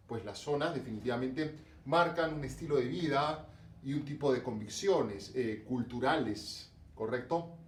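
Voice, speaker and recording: A man's voice: mid-range voice, echoey room. Peruvian guy teaching religion class, kinda far from the mic, some faint noise behind. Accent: Peruvian Spanish, Lima. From Peru, coast (Lima). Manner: explanatory, rhetorical questions, frequent fillers. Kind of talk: online class lecture to students.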